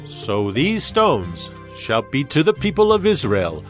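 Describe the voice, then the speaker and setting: A man's voice: mid-range voice, wildly swooping pitch, takes it slowly. An actor playing Joshua, a solemn and fervent male leader of ancient Israel, proclaiming to a crowd in a dramatized scripture reading. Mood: solemn, commanding. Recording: dramatic music behind the voice.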